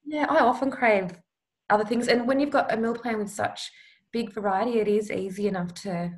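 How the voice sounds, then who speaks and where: A woman's voice: medium pitch, a little fast. A woman guest on a podcast, talking casually and in a friendly way, with ums and easy repeats. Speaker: podcast guest, meal-planning blogger. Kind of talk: casual interview chat.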